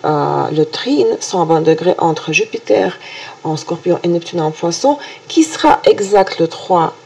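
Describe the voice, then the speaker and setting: A woman with a Russian accent, a native Russian speaker, presenting a weekly horoscope video in a halting way full of hesitations and restarts. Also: fairly low pitch, animated, gravelly, dark tone, breathy edge. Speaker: astrologer.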